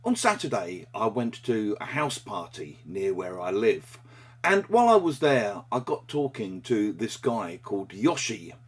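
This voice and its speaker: A man presenting a short English lesson, explaining a phrase in a measured, clear teaching manner. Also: fairly low pitch, very animated.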